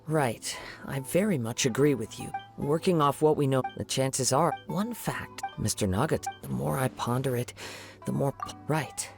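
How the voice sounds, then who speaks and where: An actor playing a calm, courteous young male samurai investigator in a video game, speaking in measured, formal phrases. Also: medium pitch, vocal fry, music in the background, natural voice. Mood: calm, reasoning. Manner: measured, polite, formal wording.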